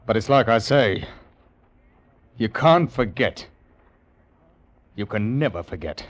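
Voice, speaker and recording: Blustering old-radio sea captain, widely swinging pitch. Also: male voice, animated, takes it slowly, dry recording. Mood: haunted, brooding. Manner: gruff, haunted, irascible. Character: embittered, blustering captain of an 18th-century convict ship. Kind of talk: old-time radio drama acting. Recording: old radio recording with music behind.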